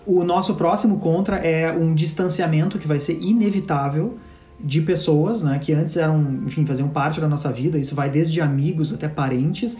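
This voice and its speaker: A man's voice: fairly high pitch, moderate pace, warm tone. A man, co-hosting a podcast and speaking reflectively in long stretches. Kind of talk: casual conversational chat. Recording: echoey room with faint music.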